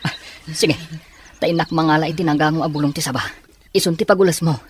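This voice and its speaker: A woman's voice: low voice, steady tone, quick pace, a little hiss or hum, close to an everyday voice. Woman acting as an earnest castaway woman. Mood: concerned. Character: anxious castaway woman tending an ailing captain. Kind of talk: amateur drama acting.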